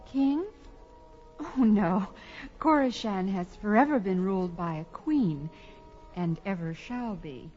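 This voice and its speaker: A woman's voice: mid-range voice, expressive, slow, a little mellow. An actress playing a young desert queen in an audio fantasy drama, regal, coy and airily haughty. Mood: airily condescending. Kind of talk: audio sword-and-sorcery drama acting. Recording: dull lo-fi audio, faint music.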